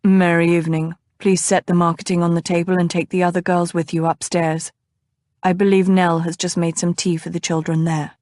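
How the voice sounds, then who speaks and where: A woman reading an audiobook, voicing a grave, gentle grown man in a slow, low, soft delivery. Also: flat delivery, no room sound, clean studio sound, close to an everyday voice. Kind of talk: audiobook character voice.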